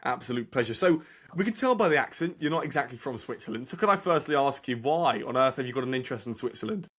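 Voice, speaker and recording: Male host with a middling pitch, friendly and direct when questioning guests. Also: animated, fast, fairly bright tone, breathy edge. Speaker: podcast host. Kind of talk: interview questions.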